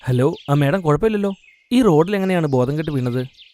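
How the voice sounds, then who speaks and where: A man plays a kind young food-stall seller in a cartoon storybook tale. He talks quickly with lively swings in pitch, earnest and reassuring in his delivery. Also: lightly acted. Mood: concerned. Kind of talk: acted dialogue in a cartoon moral story.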